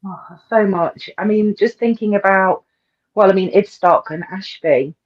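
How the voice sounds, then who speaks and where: A woman's voice: mid-range voice, unhurried, highs cut off. A woman, a guest author chatting in an interview, enthusiastic and hesitant with many ums and repeats. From English East Midlands. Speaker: guest author and paranormal researcher. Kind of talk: casual interview chat.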